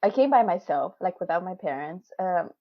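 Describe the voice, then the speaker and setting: A woman's voice: lowish voice, animated, normal speed, no echo. A woman in her twenties whose first language is not English, talking casually in a video call interview, chatty and laughing often. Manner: chatty, laughs often, many fillers and restarts. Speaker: interviewee, PhD student. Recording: dull, dry video call audio.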